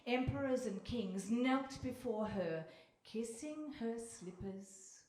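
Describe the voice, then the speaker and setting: A woman's voice: on the high side, animated, takes it slowly, darkish tone. A woman narrating a scripted fairy-tale radio play live on stage. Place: live stage in a hall with audience. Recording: echoey hall, clearly noisy.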